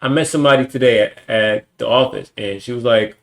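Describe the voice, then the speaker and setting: A man's voice: medium pitch, brisk, creaky, a bit bright, poor audio. A young man streaming alone, ranting bluntly and with lots of profanity in a casual monologue. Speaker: livestreamer.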